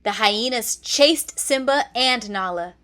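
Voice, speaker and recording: A woman narrating a children's read-along storybook in an expressive, deliberate storytelling manner. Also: a little high.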